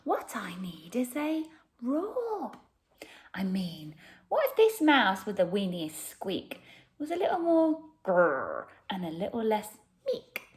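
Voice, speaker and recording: A woman voicing a small male mouse in a children's storybook, squeaky and polite, timid but brave and friendly. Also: wildly swooping pitch, slow pace. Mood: eager, determined. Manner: polite, hesitant, eager.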